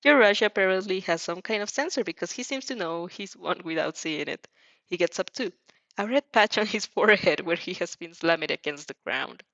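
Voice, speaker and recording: A woman's voice: lowish voice, expressive, brisk, gravelly, a bit bright, no room sound, muffled recording. A woman reading fan fiction expressively, tripping over words and reacting casually as she goes. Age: adult. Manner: stumbles over words and restarts, giggly. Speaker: fan fiction reader and dubber.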